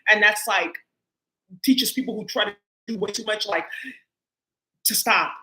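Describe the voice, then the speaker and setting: A woman's voice: mid-range voice, unhurried, bright, forceful tone. A woman doing a casual tarot reading for her viewers, talking loosely and profanely with lots of filler words. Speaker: tarot reader. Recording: echoey room.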